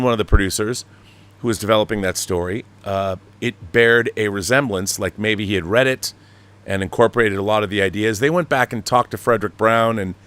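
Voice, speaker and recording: A man with a fairly low voice hosts a live talk show. He talks in an opinionated, emphatic way, driving his points home with rhetorical questions. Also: fairly bright tone. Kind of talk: opinionated live commentary and interviewing.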